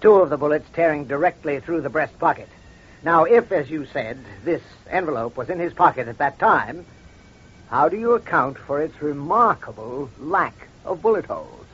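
An actor playing a shrewd, self-assured crime doctor in an old radio detective drama, speaking in a deliberate, accusatory way. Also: male voice, a little high, expressive, fairly bright tone, breathy edge. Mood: methodical, confident. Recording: dull, muffled old radio recording.

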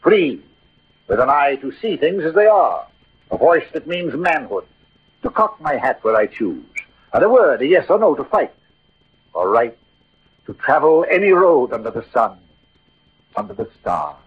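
A proud and witty swordsman who is also a lovesick poet. His speech is declamatory and eloquent in classic radio drama style. The voice is very rough and husky, heard through a narrow, phone-like vintage recording. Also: fairly high pitch, very animated, moderate pace, long pauses. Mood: defiant, proud. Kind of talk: 1940s-50s theatrical radio drama acting.

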